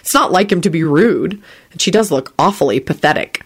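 A woman voicing an observant woman who speaks quietly and thoughtfully. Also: on the low side, animated, a little fast, breathy edge.

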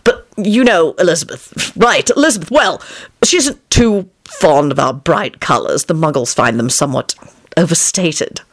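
A woman's voice: deep voice, animated, normal speed. A woman voicing a mild, patient man in a storybook, speaking in a hesitant, dryly understated way. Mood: hesitant, reassuring.

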